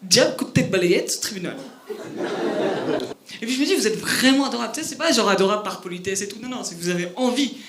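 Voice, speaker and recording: Young man, Parisian accent, stand-up comic, high voice, fast, self-deprecating, roomy club sound. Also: expressive. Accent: Parisian French. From France. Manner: quick, self-mocking, with a youthful high voice. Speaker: stand-up comedian. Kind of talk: stand-up comedy set. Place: comedy club stage before a laughing audience. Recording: echoey club with audible background noise. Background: audience laughter.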